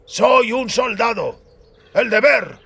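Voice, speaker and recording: A man's voice: very high voice, expressive, takes it slowly, creaky, sharp tone, slightly rough, voice pushed up, heavily acted. A man voicing a stolid, dutiful tracker and soldier in a fantasy audiobook, terse and earnest. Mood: resolute.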